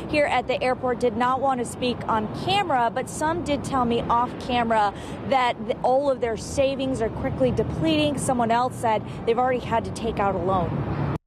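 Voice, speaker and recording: A woman's voice: on the high side, normal speed. A woman field reporter delivering a live TV news report from outside an airport. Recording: clearly noisy outdoor airport with traffic.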